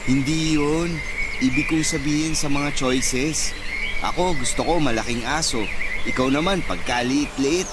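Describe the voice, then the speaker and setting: A man's voice: fairly high pitch, measured pace, heavy background noise, natural voice. A man playing a shy young bachelor who gets miffed easily, bantering casually in an audio drama. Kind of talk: conversational audio drama dialogue. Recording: loud music bed under the voice. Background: music.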